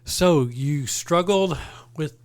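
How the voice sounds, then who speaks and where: A man hosting a podcast interview, folksy and joking, sometimes drawing out his words. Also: fairly high pitch. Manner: folksy and joking, drawn-out delivery. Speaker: university president and podcast host.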